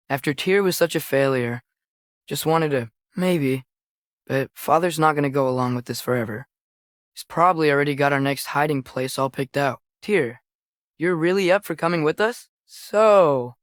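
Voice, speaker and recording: A man's voice: medium pitch, dry recording, lightly acted. Game role: eager, sincere teen boy voiced by a young man, godly lineage, youthful and conversational, close dry sound. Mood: subdued, friendly. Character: earnest, eager teenage boy adventurer, son of a god. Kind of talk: video game character acting.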